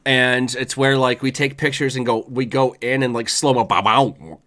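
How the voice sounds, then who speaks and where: A man with a slightly hoarse voice hosting a casual chat show, rambling in a laid-back, slangy and sometimes profane way. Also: medium pitch, steady tone, moderate pace, pushed and bright. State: hoarse from voice work. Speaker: show host.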